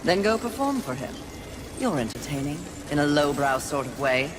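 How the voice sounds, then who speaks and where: A woman's voice: low-pitched, big pitch swings, moderate pace. An actress voicing a proud, combative female horseman of the apocalypse in a video game, scornful and commanding. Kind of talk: video game heroine acting.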